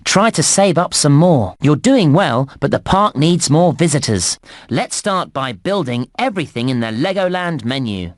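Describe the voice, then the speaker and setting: A man playing a cheerful, encouraging theme park guide in a game, giving scripted instructions in a clear presenter's delivery. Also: on the high side, very animated, normal speed, dry recording, clean studio sound. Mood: concerned. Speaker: game narrator and tutorial guide.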